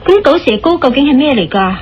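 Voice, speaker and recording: A woman with a Hong Kong Cantonese accent playing a young, dutiful nurse in a radio drama, gentle and hesitant. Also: sits high, big pitch swings, fast. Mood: hesitant, worried. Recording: dull lo-fi radio drama recording. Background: music.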